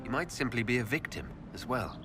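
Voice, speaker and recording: Actor as expressive male fantasy leader. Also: medium pitch, a little fast, music in the background, natural voice. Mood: curious, wry. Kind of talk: dramatic fantasy game dialogue.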